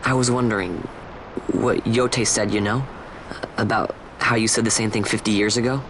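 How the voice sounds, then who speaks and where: A man's voice: fairly low pitch, steady tone, normal speed, close to an everyday voice. An actor playing a curious, tactless young man in a fantasy game, speaking informally and a little awkwardly. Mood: hesitant, curious. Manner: hesitant, informal. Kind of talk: scripted fantasy game acting. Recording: noisy ambience behind the voice.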